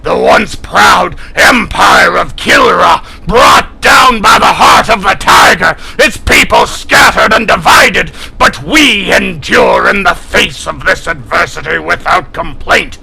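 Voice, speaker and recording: A man's voice: high voice, lively intonation, takes it slowly, few pauses, pushed and bright, raised voice, a little hiss or hum, exaggerated voice, poor audio. A man playing a proud, fierce alien cat warrior in a sci-fi audio drama, haughty and declamatory, full of contempt for humans. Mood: angry. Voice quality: gravelly, growling.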